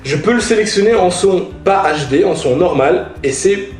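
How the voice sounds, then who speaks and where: Casual man with higher voice, echoey sound. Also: animated, normal speed, a little mellow, soft background music. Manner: informal, slangy, emphatic repetition. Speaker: motorcycle vlogger reviewing gear. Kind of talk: casual product review talk to viewers.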